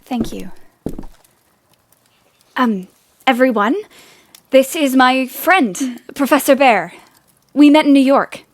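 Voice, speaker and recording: A woman playing a warm, spirited, teasing young woman in a nineteenth-century audio drama. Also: high voice, takes it slowly, pauses often, no room sound, clean studio sound. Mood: eager, welcoming. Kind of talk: period audio drama acting.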